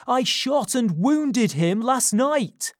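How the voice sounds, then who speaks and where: Giles, a woman doing a shouting, blustering servant. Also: fairly high pitch, animated, slow pace, no echo, studio quality, voice pushed up. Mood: alarmed. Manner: shouting, indignant. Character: alarmed male servant, blustering.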